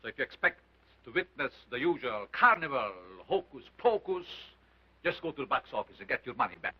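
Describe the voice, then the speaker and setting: A man playing a grandiose carnival showman and self-styled scientist, speaking in a booming, theatrical way. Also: big pitch swings, a little fast, pushed and bright, slightly rough, exaggerated voice, rough recording. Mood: commanding. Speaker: sideshow doctor presenting an ape.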